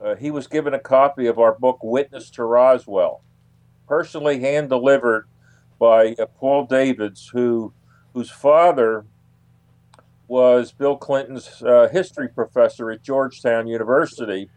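A man explaining things as an interview guest, in a halting way that often repeats words. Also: mid-range voice, fairly even intonation, darkish tone, no room sound. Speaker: guest, UFO researcher and author.

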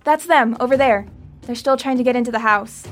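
A woman's voice: fairly high pitch, a little fast, faint music behind, natural voice. A woman playing an earnest teenage girl who pleads for help in an audio drama. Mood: pleading.

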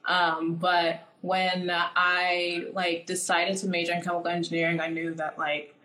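A woman's voice: on the low side, flat delivery, unhurried, bright, forceful tone. Chatty young woman in an echoey room. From born in Nigeria, raised in the US. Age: 20s. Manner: chatty, many "like" fillers. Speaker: guest. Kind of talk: casual interview.

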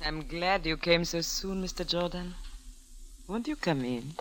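A woman acting in a 1940s radio drama as a secretive, alluring young French wife, with a French accent and soft, deliberate, formal phrasing. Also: fairly low pitch. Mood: inviting. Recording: vintage radio, faint background noise.

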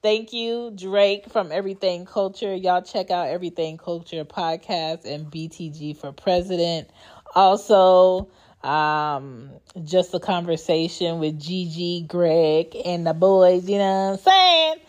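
A woman's voice: on the low side, unhurried, a little mellow, no room sound. A woman in her thirties hosting a podcast, talking bluntly and emphatically with plenty of profanity. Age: 30s. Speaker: podcast host. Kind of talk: casual monologue.

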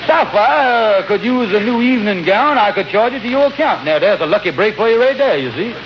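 A man's voice: high-pitched, lively intonation, brisk. An actor playing a wheedling, scheming con-man in a 1940s radio comedy, folksy in grammar and pompously mangling big words. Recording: muffled, noisy old radio broadcast recording.

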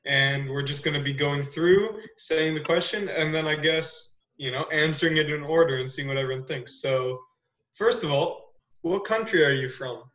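A man's voice: fairly high pitch, normal speed, a bit bright, roomy sound, poor audio. A young man from Canada, hosting a casual podcast, teasing his friends and running the questions. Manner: joking, teasing, runs the agenda. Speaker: podcast host.

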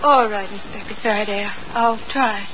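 An actress playing a genteel, fretful young bride-to-be, hesitant and melodramatic in 1940s radio style. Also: female voice, mid-range voice, moderate pace, natural voice. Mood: subdued. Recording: muffled, noisy old radio recording.

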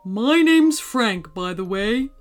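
A furry green storybook monster, voiced by a woman, nervous and eager to apologise. He talks hesitantly and sheepishly, with lively swings of pitch, over clearly audible music. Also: slow pace. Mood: eager, friendly.

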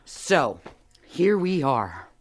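A sly woman suspected of burglary in an audio drama. Her pitch is lively and varied, moving from meek murmurs to emphatic, theatrical protest. She is playful, evasive and teasing, always working on whoever questions her. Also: very slow, slightly rough, exaggerated voice. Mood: sly, confident. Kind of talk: audio drama dialogue acting.

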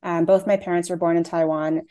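Low, mellow-voiced young woman. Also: level tone, brisk, clean tone. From Canada. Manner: chatty, frequent "um" and "like" fillers. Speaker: playwright and actor. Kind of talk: casual interview answers.

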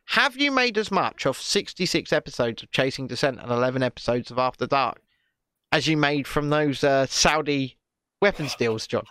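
A man's voice: mid-range voice, expressive, moderate pace, sharp tone, smooth, no echo. A man in his thirties co-hosting a podcast, teasing his partner and reading out listener comments. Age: 30s. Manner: teasing, swears casually. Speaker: podcast co-host.

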